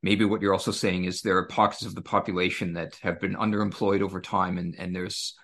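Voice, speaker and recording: Low-voiced male interviewer, relaxed and joking. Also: brisk. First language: English. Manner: relaxed, jokey, hesitant with fillers. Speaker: interview host. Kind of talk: interview moderating.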